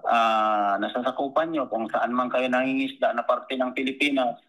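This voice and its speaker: A Filipino man, a commercial fisherman, giving informal, halting answers in an interview. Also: medium pitch, fairly even intonation, a bit bright, poor audio.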